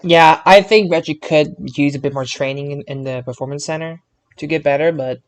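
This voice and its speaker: A man's voice: on the high side, steady tone, a little fast. A man hosting a podcast, leading a casual wrestling review chat. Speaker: podcast host leading the show.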